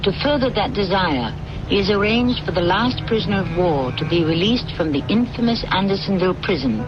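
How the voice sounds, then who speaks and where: A male radio drama host narrates with very lively intonation and a measured, theatrical delivery. The broadcast is muffled, with loud music behind him. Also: high-pitched.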